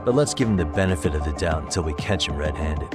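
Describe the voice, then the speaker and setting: A man playing a measured, easygoing, fair-minded investigator in a video game cutscene. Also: rumbling low, expressive, music in the background. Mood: calm, wry. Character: level-headed, fair-minded male investigator. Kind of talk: dramatic game cutscene dialogue, English dub.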